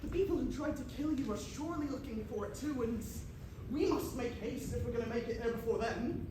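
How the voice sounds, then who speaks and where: A woman's voice: on the high side, a little fast, lightly acted. A woman playing a vain, jealous hobbit hero with hammy, melodramatic asides in an amateur stage pantomime. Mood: urgent. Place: theatre stage, miked from a distance. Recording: echoey, noisy theatre recording from far off.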